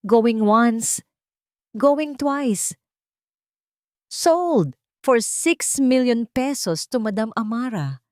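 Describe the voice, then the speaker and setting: A woman's voice: a little high, lively intonation, drawn-out words, pauses often, a little mellow, no echo, pitched above the performer's own voice. A formal auctioneer addresses a gala in an audiobook drama, acted out by a woman narrator. The delivery is announcing and courteous, with a Filipino accent, in a dry, close sound. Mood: announcing, building.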